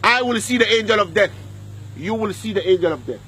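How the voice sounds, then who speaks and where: A man's voice: sits high, lively intonation, fairly quick, pushed and bright, low-quality recording. A man preaching a sermon at a graveyard, repeating lines and putting questions to his listeners, with Arabic verses in between. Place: outdoor graveyard.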